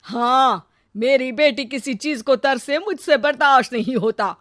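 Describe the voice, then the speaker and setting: A woman's voice: sits high, a little slow, very noisy. A woman voicing an indulgent, chatty middle-aged mother in a cartoon story. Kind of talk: Hindi cartoon story voice acting.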